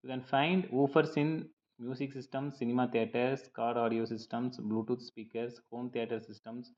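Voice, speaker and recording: A dull, lo-fi recording carries a man's mid-pitched voice. He reads a prepared lecture as a teacher would, explaining point by point in a measured, slightly halting manner. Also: little pitch movement, a little mellow. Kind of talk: scripted lecture narration over slides.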